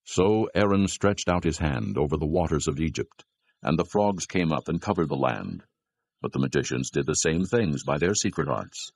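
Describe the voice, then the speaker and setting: A male narrator with an extremely deep, gravelly and husky voice reads scripture. His delivery is solemn and measured, a scripted storytelling style for audio drama. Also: lively intonation, a little mellow, no room sound.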